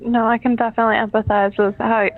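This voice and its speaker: Over a thin, narrow phone line, a woman speaks as a customer service representative. Her voice is fairly high and flat with a creaky edge, and she is polite, hesitant and apologetic. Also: fast. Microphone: telephone. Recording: muffled, band-limited phone line.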